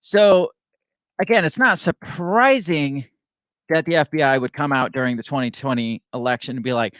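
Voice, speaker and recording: A man's voice: medium pitch, moderate pace, a bit bright, no echo. A young adult man hosting a political commentary show, talking casually and sarcastically, rambling with frequent restarts as he reads news stories aloud.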